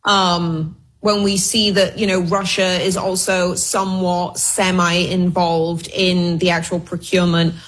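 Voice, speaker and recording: Husky-voiced woman giving news analysis. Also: fairly low pitch, flat delivery, unhurried, few pauses, a bit bright, poor audio. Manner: informal, wry, hedging explanations. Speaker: guest news researcher and commentator.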